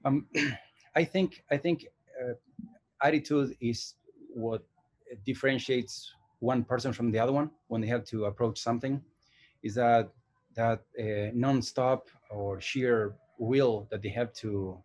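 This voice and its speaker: A man in his forties, originally from Argentina, answers interview questions about his work. He talks in a thoughtful, unhurried way, pausing and restarting his sentences as he searches for words, with a voice of ordinary middle pitch. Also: steady tone, darkish tone. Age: 40s. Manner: thoughtful, hesitant, many restarts. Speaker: animation director and teacher. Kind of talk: casual interview.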